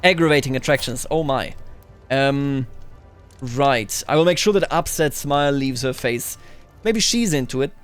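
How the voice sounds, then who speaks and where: A man's voice: mid-range voice, moderate pace, smooth, faint music behind. A man hosting a game playthrough, musing aloud and reading in-game text with playful theatrical flair. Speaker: host of a let's play series.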